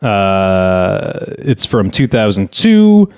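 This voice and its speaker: A man's voice: fairly low pitch, big pitch swings, very slow, a little mellow, clear, resonant tone. A man in his thirties hosting a podcast with casual, teasing banter. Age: 30s. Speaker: podcast host.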